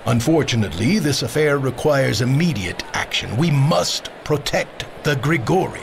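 An actor playing a stately veteran war advisor in a fantasy audio drama, speaking formally and with authority. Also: male voice, mid-range voice, lively intonation, normal speed, creaky, background noise. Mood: grave, decisive.

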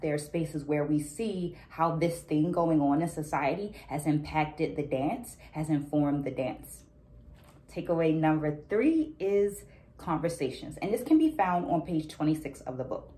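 A woman in her thirties giving a reflective, deliberate explanation of a book as a vlog host, talking to camera. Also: low-pitched, mellow, poor audio. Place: small indoor room at home.

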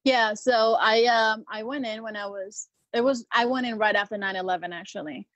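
A woman's voice: a little high, moderate pace, a bit bright, no echo, muffled recording. A woman in her thirties from Peru, a Marine veteran guest talking casually with lots of hesitant ums and restarts. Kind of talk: casual interview conversation.

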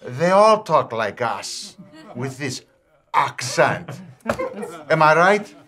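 An older Greek immigrant man in a film comedy, teasing and quick to flare up. His Greek accent rides on big, animated swings of pitch. He talks emphatically and boisterously. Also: a crowd in the background. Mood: indignant, teasing.

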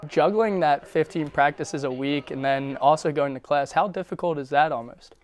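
A man's voice: on the high side, moderate pace. A young man hosting a student sports show, putting polished questions to a studio guest. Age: young adult. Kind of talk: studio interview.

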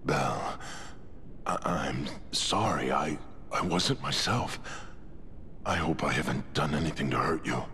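Huge gruff game beast, super deep, slow and halting. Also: male voice, bright, forceful tone, long reverb tail, a little hiss or hum, exaggerated voice. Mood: remorseful. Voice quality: deep, rumbling. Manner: halting, heavy. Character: huge brooding male beast, remorseful and gruff. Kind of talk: video game cutscene acting.

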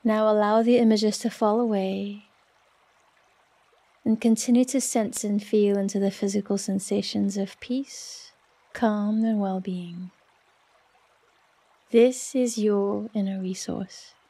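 Slow, mellow woman guiding a relaxation meditation. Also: medium pitch, fairly even intonation, breathy edge. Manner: soft, soothing, unhurried with long pauses. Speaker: yoga nidra meditation guide.

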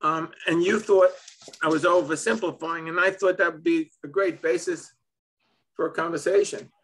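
A man's voice: fairly high pitch, steady tone, normal speed, fairly bright tone, rough recording. A man in his seventies from Brooklyn, chatting casually on a video call from his home office and chuckling often. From Brooklyn, New York. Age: 70s. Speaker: host.

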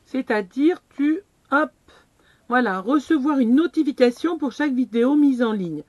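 A woman's voice: high-pitched, lively intonation, moderate pace, darkish tone, no room sound, muffled recording. A middle-aged woman vlogging from inside her camper van, casually walking viewers through a screen step by step with a chatty, self-deprecating manner.

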